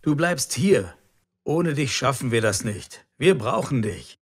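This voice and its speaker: Man playing a lively, stressed restaurant cook. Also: medium pitch, measured pace. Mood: exasperated. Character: stressed, exasperated male restaurant cook short of staff. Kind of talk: scripted language-lesson dialogue.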